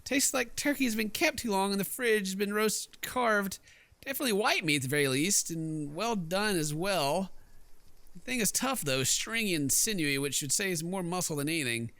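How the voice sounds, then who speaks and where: A man's voice: high-pitched, expressive, normal speed, a bit bright, no echo. A man doing casual let's play commentary, jokey and rambling, reading game text aloud as he goes. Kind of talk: casual gameplay commentary with read-aloud narration.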